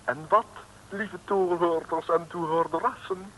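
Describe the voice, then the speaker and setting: A man's voice: a little high, fairly even intonation, measured pace, fairly bright tone, slight background noise, low-quality recording. A man narrating a comic radio serial in a playful, mock-solemn manner.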